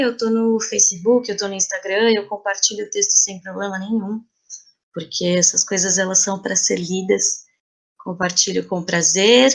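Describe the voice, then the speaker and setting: A woman's voice: mid-range voice, gravelly. A Brazilian woman speaking as a guest in a casual podcast conversation, with a Brazilian Portuguese accent. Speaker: interview guest, playwright.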